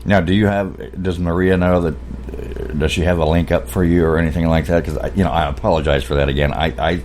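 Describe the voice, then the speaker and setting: A male radio host with an exceptionally deep, low voice, talking loosely and agreeably. There is a clear hiss and noise behind him. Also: steady tone, fairly quick, darkish tone. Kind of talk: casual radio interview. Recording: noisy radio recording.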